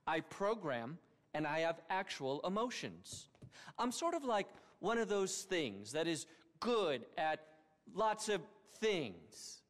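An actor playing an eccentric, self-important tech billionaire in a game, grandiose and petulant, talking as if giving a keynote speech. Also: male voice, a little high, big pitch swings, slow. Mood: boastful. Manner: pompous keynote-speech delivery. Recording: reverberant hall sound.

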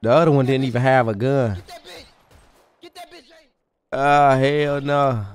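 A man livestreaming a roleplay game, commenting excitedly in boastful, slangy, profane casual talk. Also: medium pitch, slow, clear, resonant tone. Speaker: live game streamer.

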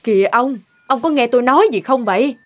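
A woman's voice: a little high, expressive, fairly quick. A woman playing a worried, nagging middle-aged village farmer's wife in a cartoon, fretful and scolding. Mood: chiding, resigned. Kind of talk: cartoon dialogue.

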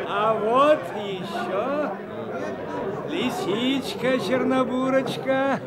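High-pitched eager auctioneer calling out. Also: male voice, drawn-out words, heavily acted. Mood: rousing, showy. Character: eager schoolteacher acting as auctioneer, calling out bids. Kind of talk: Soviet radio drama acting. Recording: radio play, loud crowd around. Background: noisy crowd.

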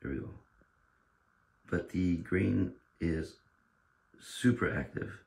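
A man with a hoarse, fading voice, casually showing off a sword with frequent pauses and restarts. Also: deep voice, a little mellow. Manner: halting, with many fillers and restarts. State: hoarse, losing his voice. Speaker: sword dealer presenting a katana. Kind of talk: casual show-and-tell.

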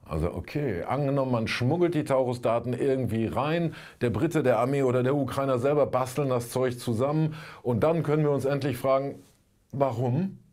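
A man's voice: medium pitch, normal speed, a little mellow. The sardonic older man at a studio desk who picks apart the week's politics in a satirical show. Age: 60s. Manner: sardonic, colloquial. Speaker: commentator, presenter. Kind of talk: satirical scripted political commentary. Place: indoor studio.

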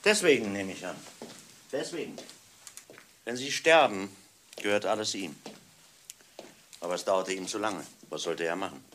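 A man playing a stern, methodical police inspector in a German radio crime drama, speaking emphatically and deliberately. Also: on the low side, lively intonation, fairly bright tone, a little hiss or hum. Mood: grim, resolute. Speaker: actor in a radio crime play.

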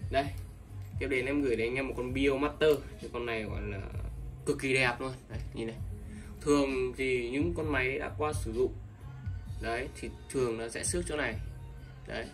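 Male seller, casual repetitive pitch, off-mic with background music. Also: fairly quick. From Vietnam. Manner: repetitive, many fillers, addresses buyers as brothers. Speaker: fishing tackle seller. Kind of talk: casual sales livestream. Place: indoors, table of fishing reels. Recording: distant mic, music playing behind.

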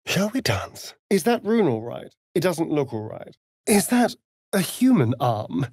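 An actor playing a sly, vain vampire rogue in a video game, with a silky, theatrical, mocking drawl. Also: male voice, a little high, big pitch swings, unhurried, darkish tone, dry recording, studio quality, full character voice. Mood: arch, wary. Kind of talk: video game character voice lines.

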